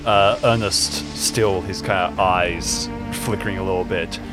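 A man narrating his character's actions in an improvised audio drama, casually and haltingly, feeling out each description. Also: sits low, fairly even intonation, over loud music. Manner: casual, groping descriptions full of "like".

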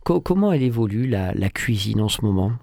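A man's voice: fairly low pitch, big pitch swings, fairly quick, vocal fry, warm tone, no room sound. A man hosting a radio food show, speaking in a lyrical, chatty way with frequent restarts from a studio microphone. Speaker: radio host.

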